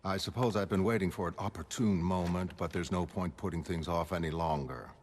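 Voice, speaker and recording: A man performing a brilliant, self-assured mastermind in a motion comic, speaking in formal, measured, erudite monologue. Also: low voice, a little hiss or hum, close to an everyday voice. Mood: calm, courteous. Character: brilliant, aloof, self-assured male mastermind, soliloquizing to his pet.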